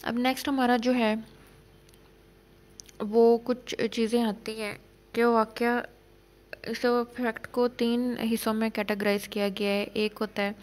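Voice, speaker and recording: A woman lecturer explaining law for exam students, reading out legal definitions slowly and then explaining them in plain terms. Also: on the high side, darkish tone.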